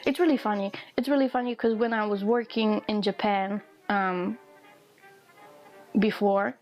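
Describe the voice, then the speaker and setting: A young woman from Eastern Europe chatting casually in a rambling vlog while drawing, amused and quick to laugh, acting out the people she quotes. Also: medium pitch, steady tone, lo-fi sound, faint music behind. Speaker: vlogger.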